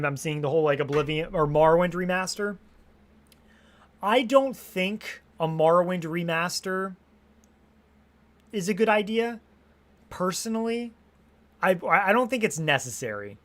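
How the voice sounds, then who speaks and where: A young man chatting casually on a live stream, rambling and restarting his sentences, swearing freely, and a little hoarse after hours of talking. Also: high voice, normal speed, long pauses, fairly bright tone. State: slightly hoarse from long streaming.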